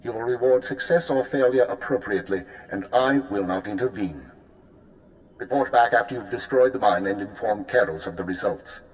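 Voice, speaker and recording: A man's voice: on the low side, normal speed, a little mellow, close to an everyday voice, poor audio. A man voicing a cool, formal intelligence handler. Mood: briefing. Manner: formal, clipped briefing tone. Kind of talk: video game voice acting.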